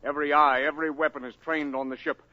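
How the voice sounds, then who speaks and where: An urgent radio newsman reports live from the scene. His voice sits high and the broadcast sounds dull and lo-fi, like an old radio recording. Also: flat delivery, fast, sharp tone. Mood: tense. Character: male radio news announcer at a landing site. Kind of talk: 1950s radio drama acting.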